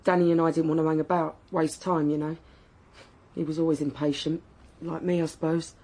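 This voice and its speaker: An actress playing a blunt, defensive young working-class wife in a naturalistic radio drama, colloquial and outspoken. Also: female voice, deep voice, flat delivery, brisk, warm tone. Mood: guarded.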